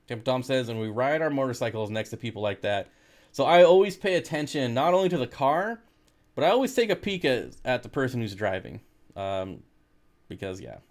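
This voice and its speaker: An American man hosting a casual livestream, talking in a laid-back, jokey way as he reads and answers comments. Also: mid-range voice. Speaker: livestream host reading and answering viewer comments.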